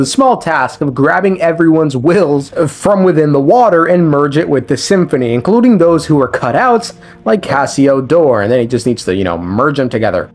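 Animated, irreverent man recapping game lore. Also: a little high. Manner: irreverent, sweary, slangy. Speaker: video game lore commentator. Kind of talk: casual scripted story recap with joking asides. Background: background music.

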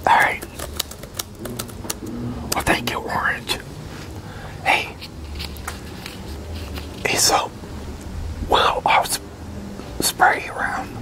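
A man in his sixties in a whispered ASMR roleplay as an easygoing wrestling promoter, talking haltingly as if in a one-sided conversation. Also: rough recording. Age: 60s. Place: open-sided concrete pavilion outdoors, at a metal picnic table.

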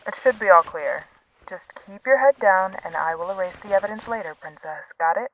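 A woman's voice: medium pitch, animated, brisk, a bit bright, slight background noise, poor audio. A woman voicing a matter-of-fact female tech accomplice who gives remote guidance in an audio roleplay drama. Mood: businesslike.

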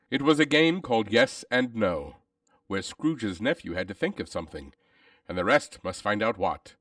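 A man narrating a classic tale as a dramatic storybook reader, with measured, solemn phrasing. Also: fairly low pitch, a bit bright, far from the mic.

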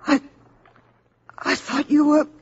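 A woman's voice: high voice, flat delivery, slow, slight background noise. An actress in a 1950s radio drama playing a frightened, earnest woman with an anxious, emotional manner. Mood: stunned. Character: frightened, earnest young Earth woman in danger. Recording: muffled 1950s radio with music behind.

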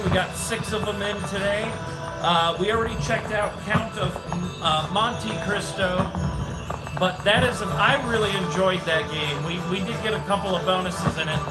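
An American man hosting a casual livestream with live play commentary, excitable and given to exclamations and swearing. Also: high voice, poor audio. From grew up in Wisconsin, United States. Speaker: livestream host. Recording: loud music behind the voice. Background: loud music, slot machine game audio.

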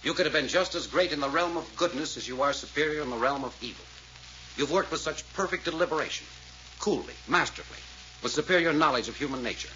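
An actor playing a tense, commanding detective adventurer in old radio drama, giving clipped, authoritative orders. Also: male voice, a little high, fast, sharp tone. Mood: grave, deliberate. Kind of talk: 1940s-50s radio drama acting. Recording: muffled, noisy old radio transcription.

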